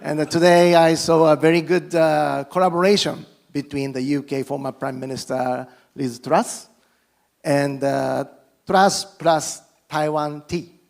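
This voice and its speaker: A middle-aged man speaks English with a Japanese accent at a formal speech, his voice gravelly and set a little high. He works through the words haltingly, restarting phrases and slipping in self-deprecating jokes. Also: steady tone. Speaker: politician giving a guest speech.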